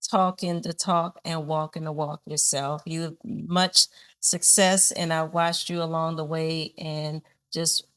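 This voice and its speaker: A woman tells her story in an earnest, steady way. Her voice sits fairly low. The sound is close and dry. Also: measured pace, clear, resonant tone, dry recording. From born in Honduras, raised in New Orleans. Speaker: podcast guest, AI consultant. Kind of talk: casual interview answers.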